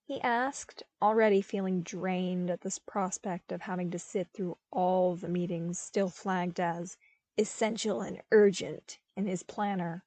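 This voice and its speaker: A woman reading scripted fiction as an audiobook narrator, with expressive storytelling delivery. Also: fairly low pitch, unhurried, no room sound.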